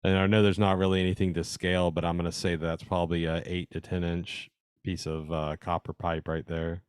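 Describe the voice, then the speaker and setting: A man with a deep, very clear and smooth voice presents slides informally. He explains technical details conversationally and with evident interest, like a hobbyist addressing fellow club members. The sound is dry, with little room echo. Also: little pitch movement, fairly quick. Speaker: presenter at an amateur radio club meeting.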